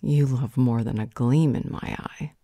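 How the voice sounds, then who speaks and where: A woman plays a strong-willed female vampire in an audio roleplay. Her voice is low and mellow, recorded close and dry, with a measured, thoughtful delivery that has a dry edge of authority. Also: no echo, full character voice. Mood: firm, wry. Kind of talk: roleplay audio drama acting.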